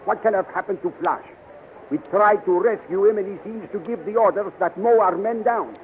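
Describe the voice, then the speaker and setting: An actor playing an anxious but authoritative prince, formal and dramatic in 1930s radio serial style. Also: male voice, high-pitched, moderate pace, highs cut off, natural voice. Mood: troubled. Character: anxious, authoritative prince leading retreating soldiers. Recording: thin, noisy 1930s radio recording.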